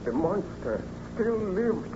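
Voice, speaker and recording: A troubled baron, earnest n formal, higher voice, muffled hissy old radio play. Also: male voice, very animated, takes it slowly, full character voice. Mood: troubled, reassuring. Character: troubled, remorseful baron, the monster's creator. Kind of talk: old-time radio drama acting.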